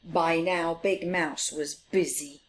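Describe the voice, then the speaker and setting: A woman's voice: low-pitched, fairly even intonation, takes it slowly. A woman reading a picture book aloud expressively for young children, putting questions to them and often dropping toward a whisper. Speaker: children's storyteller.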